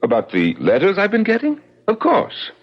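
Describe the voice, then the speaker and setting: An actor in a 1970s radio drama playing a courtly, hard-headed ballet impresario with a smooth, formal, dismissive manner. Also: male voice, sits high, wildly swooping pitch, normal speed, full character voice. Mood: dismissive, firm. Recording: muffled 1970s radio broadcast.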